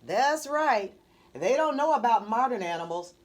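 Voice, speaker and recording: A woman's voice: on the high side, lively intonation, normal speed. A woman voicing an eager, boastful young boy in a children's storybook, exclaiming like a know-it-all. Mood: cocky, teasing.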